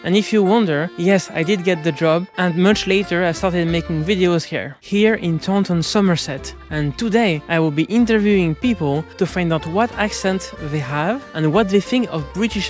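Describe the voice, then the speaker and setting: A high-pitched man with a French accent narrates and hosts street interviews. He speaks in a clear, deliberate way. Soft music plays faintly behind him. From France. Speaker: host.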